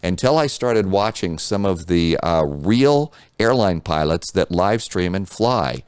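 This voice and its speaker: A man's voice: deep voice, expressive, unhurried, clean tone, no room sound, studio quality. An American man hosting a casual, off-the-cuff flight simulator tutorial in a chatty, folksy, reassuring way. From United States.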